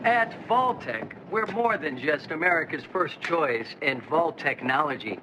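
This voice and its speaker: An actor playing a confident retro corporate pitchman, chipper and polished in a scripted promotional style. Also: animated, a little slow, noisy background. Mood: upbeat, selling. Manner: polished, salesman-like.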